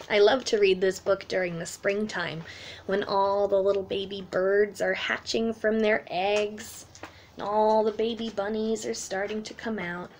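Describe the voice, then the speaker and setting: A woman's voice: mid-range voice, unhurried, a little hiss or hum. A woman in her thirties, a children's teacher, reading a picture book aloud expressively to young children. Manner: expressive, simple explanations addressed to young children. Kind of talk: children's storytime read-aloud. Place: small room at home, indoors.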